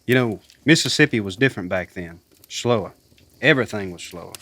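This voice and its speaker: A man playing a courteous, measured late-night soul radio DJ in a radio drama. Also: fairly low pitch, expressive, sharp tone, faint music behind, exaggerated voice. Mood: wistful.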